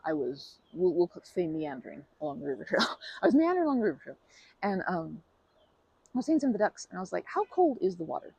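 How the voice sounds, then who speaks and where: A woman doing an ASMR roleplay as a polite stranger, talking softly with hesitant, rambling chatter and many restarts, sometimes dropping to a whisper. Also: lowish voice, sing-song, fast, vocal fry, slightly rough, no room sound, poor audio. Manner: soft-spoken, drops to whispers.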